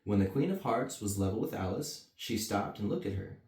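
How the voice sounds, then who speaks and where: A man's voice: lowish voice, animated, a little fast, a little mellow. A man reading a bedtime story to children with casual asides. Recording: roomy echo, mic at a distance.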